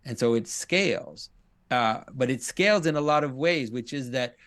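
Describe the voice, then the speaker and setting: A man's voice: mid-range voice, expressive, normal speed, a bit bright. A man in thoughtful conversation, speaking in a reflective, intellectual way.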